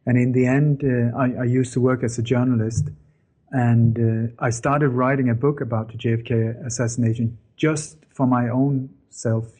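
A man's voice: medium pitch, warm tone, breathy edge. A middle-aged man, telling his story to an interviewer in an earnest way with many fillers and self-corrections. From born in Denmark, grew up in Sweden. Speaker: interview guest, former journalist.

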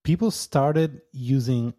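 Mellow-voiced man, halting. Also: mid-range voice. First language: Portuguese. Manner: hesitant, full of fillers, chuckles often. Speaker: podcast co-host. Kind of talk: casual podcast chat.

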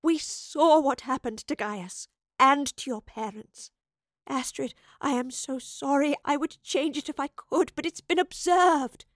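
A woman reader plays a storybook lady professor with a raised pitch. She is earnest and emotional, pleading yet resolute, and recorded very dry. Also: normal speed, no echo, close to an everyday voice. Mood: tearful pleading.

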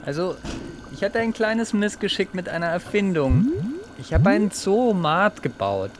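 A man performs in a children's science audio play as a podcast co-host. His delivery is animated, moving freely up and down in pitch. Faint music sits behind the voice. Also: moderate pace. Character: himself turned into a reluctant pink pig.

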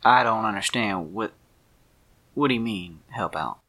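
A man voicing an easygoing small-town sheriff in a tabletop game, asking informal, unhurried questions. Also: on the low side, bright, forceful tone. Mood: concerned, puzzled.

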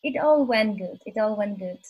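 A young woman in her twenties from Albania speaks as a podcast guest. Her voice is a little husky and sits at a middling pitch. She talks softly and earnestly, with a modest manner. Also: lively intonation, normal speed, dull audio. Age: 20s. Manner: soft-spoken, modest, earnest storytelling. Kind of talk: casual interview conversation.

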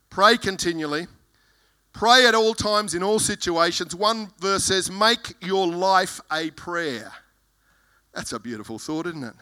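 Male pastor, loud voice, fervent sermon delivery, high pitched and piercingly bright. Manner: fervent preaching, reading scripture verses aloud.

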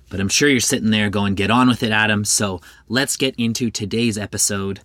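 A man hosting his own podcast, a little hoarse from a cold, reading a friendly, chatty intro with the odd filler word. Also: on the low side, a bit bright, no room sound. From Canada. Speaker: podcast host. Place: home kitchen.

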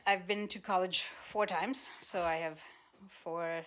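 A woman in her fifties, from the Seychelles and a native French speaker, is a guest on a podcast. Her voice sits low. She tells her story in a steady, matter-of-fact way. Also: slow, a crowd in the background. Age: 50s. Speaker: interview guest. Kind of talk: casual interview chat.

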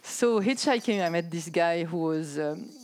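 A woman in her sixties from France, with a French accent, telling her life story as a podcast guest in a soft, hesitant way with frequent small laughs. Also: lowish voice, moderate pace, rough recording. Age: 60s. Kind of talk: casual chat.

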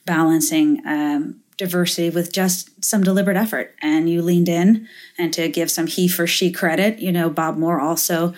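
A middle-aged woman from Finland speaks as a guest in an interview, with a somewhat low voice. She talks in a reflective, halting way, full of restarts and fillers as she works through her answers. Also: steady tone, darkish tone. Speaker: guest, venture capitalist. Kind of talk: interview conversation.

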